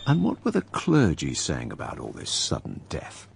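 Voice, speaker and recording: An actor playing a wry, imperious diarist narrating a radio drama with sardonic, theatrical asides. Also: male voice, very deep voice, huge pitch swings, moderate pace, gravelly, noisy background, full character voice. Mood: grave, detached. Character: wry, imperious male narrator-diarist of plague-stricken London.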